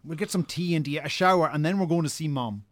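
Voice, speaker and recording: An actor playing a farmer's brisk, exasperated brother in a radio drama, scolding impatiently in an Irish accent. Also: male voice, fairly high pitch, no room sound, lightly acted. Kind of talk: naturalistic radio drama acting.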